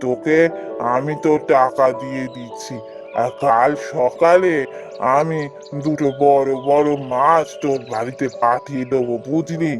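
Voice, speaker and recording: A man voicing an earnest, kindly village gentleman in a cartoon fairy tale, speaking familiarly like a patron to a junior. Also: medium pitch, steady tone, measured pace, music in the background, natural voice. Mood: generous, earnest.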